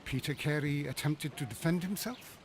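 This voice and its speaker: An actor playing a detective's sceptical English companion in a video game, with a dry, questioning manner. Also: male voice, on the high side, moderate pace, background noise, lightly acted. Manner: dry, questioning, gently exasperated. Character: gentleman detective's sceptical, wry male companion. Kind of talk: scripted video game dialogue.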